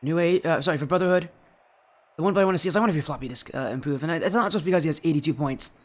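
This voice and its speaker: A young man doing solo play-by-play commentary for an esports match, sounding a little stuffy and yelling in high bursts at big plays. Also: rapid-fire. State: slightly stuffy from allergies. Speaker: esports caster.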